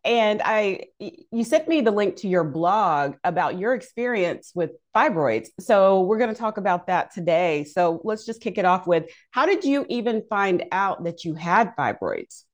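A woman's voice: mid-range voice, animated, normal speed, few pauses. A woman co-hosting a podcast, introducing guests in a chatty, informal way and laughing easily. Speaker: podcast co-host.

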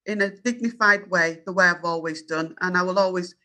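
A woman's voice: lowish voice, fairly even intonation, a little fast, pushed and bright. A woman in her fifties with a Yorkshire accent, a journalist answering interview questions in an earnest, firm and self-assured way. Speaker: journalist and guest.

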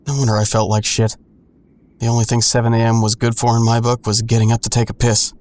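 A man playing a grown son who narrates an audio drama in a sardonic, colloquial, deadpan way. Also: medium pitch, quick pace, close to an everyday voice. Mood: wry, reflective. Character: wry adult son narrating his own hard-boiled story. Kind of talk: first-person audio drama narration with dialogue.

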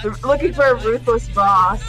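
A woman's voice: a little high, moderate pace, over music, low-quality recording. A woman co-hosting a casual, playful movie-reaction chat. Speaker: podcast co-host.

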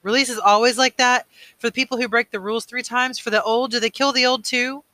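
A man voicing a distraught, bitter adolescent boy in a storybook, emotional and loud. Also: extremely high pitch, steady tone, fairly quick, dry recording, voice pushed up. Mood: accusing, upset. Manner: emotional, loud, sarcastic when angry.

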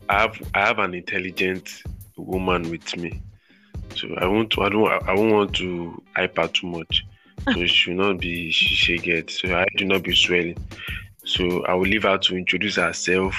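A man's voice: low-pitched, flat delivery, bright, forceful tone, soft background music, low-quality recording. A male podcast host, chatting casually and restarting his sentences often. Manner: halting, many restarts and repeated words.

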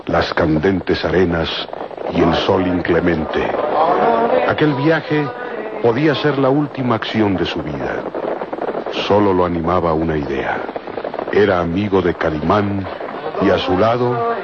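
Very deep-voiced male radio serial narrator. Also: big pitch swings, very noisy. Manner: solemn, measured storytelling. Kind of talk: dramatic scripted narration, vintage radio. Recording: muffled old radio recording. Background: loud dramatic music.

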